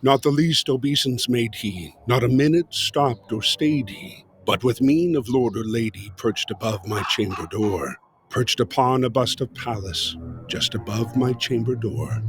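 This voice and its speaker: A man giving a dramatic reading of a poem, with a slow, brooding, theatrical delivery that drops to hushed low passages. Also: lively intonation, faint music behind. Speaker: reader.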